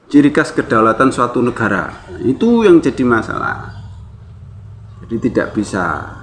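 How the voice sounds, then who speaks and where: A man's voice: medium pitch, big pitch swings, moderate pace, slight background noise. An Indonesian man giving an informal opinion monologue to viewers, conversational, repeating phrases for emphasis and asking rhetorical questions. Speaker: YouTube commentator, host. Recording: loud tinkling mallet music under voice. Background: loud glockenspiel and marimba music.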